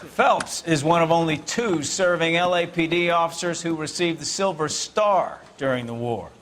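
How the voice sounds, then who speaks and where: Police captain, American male actor, slightly high voice, commanding and wry, game drama, faint noise behind. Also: normal speed, fairly bright tone, close to an everyday voice. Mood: sardonic, commanding. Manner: commanding, blunt, sardonic. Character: brusque, joking police captain running a briefing. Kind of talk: dramatic video game acting, addressing a squad.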